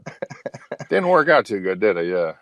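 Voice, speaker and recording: Male talk-show guest, 50s, rambling and profane, lively swings in pitch. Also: normal speed, a crowd in the background. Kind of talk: casual, candid chat.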